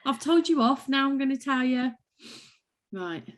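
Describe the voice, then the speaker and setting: A woman co-hosting a podcast, chatting casually, sarcastic and quick to laugh out loud. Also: high voice, lively intonation. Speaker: podcast co-host. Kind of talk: casual chat.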